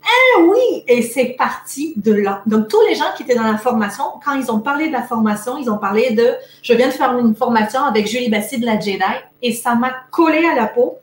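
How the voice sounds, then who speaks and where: A woman's voice: a little high, expressive, a little fast, hardly any pauses, roomy sound. A woman from France with a Montpellier accent blended with Québécois expressions, chatting upbeat as a coach being interviewed. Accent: Montpellier French mixed with Québécois. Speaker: productivity coach. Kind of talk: casual interview. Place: Québec.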